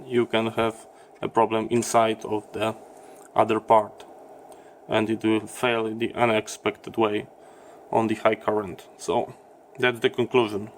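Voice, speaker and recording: A man who speaks English as a second language, giving a halting, step-by-step explanation in a hands-on repair demonstration. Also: lowish voice, little pitch movement, slight background noise. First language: not English. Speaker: electronics repair presenter.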